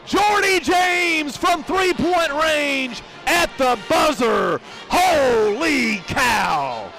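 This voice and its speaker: A man giving excited, emphatic live play-by-play commentary of a game. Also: very high-pitched, extremely slow, shouting. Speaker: sports play-by-play announcer.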